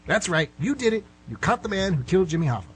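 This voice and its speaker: A man's voice: high voice, sing-song, quick pace, heavily acted. A man playing a frustrated middle-aged suspect in a radio play, pleading and backtracking as he rambles. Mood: exasperated, goading. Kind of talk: amateur radio play acting. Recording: hum and clear background noise.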